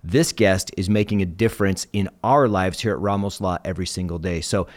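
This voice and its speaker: Male podcast host, lowish voice, upbeat, effusive, interviewing a guest. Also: a little fast. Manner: enthusiastic, effusive.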